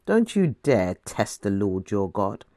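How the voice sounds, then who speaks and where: A woman voicing Jesus in a scripture story as a firm, authoritative male teacher who speaks deliberately. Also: rumbling low, sing-song, mellow, dry recording, studio quality, pitched below the performer's own voice, heavily acted. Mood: stern.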